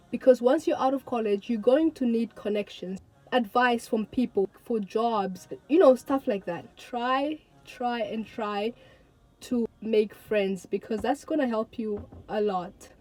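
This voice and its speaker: A woman's voice: fairly high pitch, a little mellow, rough recording. A young woman of eighteen from Tanzania, vlogging to her viewers in an earnest, chatty way and repeating words for emphasis. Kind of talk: casual talk to camera.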